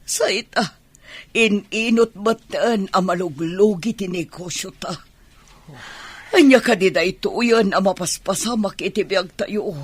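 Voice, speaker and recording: A woman playing an anxious middle-aged mother and wife with a husky voice, in an Ilocano radio drama. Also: medium pitch, unhurried. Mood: worried.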